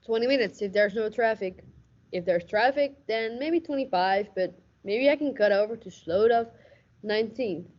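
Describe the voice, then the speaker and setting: A young woman playing a cynical, hardboiled police lieutenant with deadpan, tough-talking noir narration in a radio play parody. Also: on the high side, normal speed, close to an everyday voice. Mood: flustered. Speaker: student radio play actor. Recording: dull, muffled lo-fi recording.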